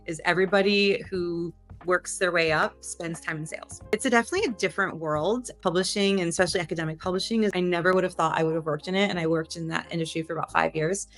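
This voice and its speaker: Guest, woman, 30s, low voice, chatty and animated storyteller. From Delaware, US. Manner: chatty, animated, loose restarts. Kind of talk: casual interview storytelling.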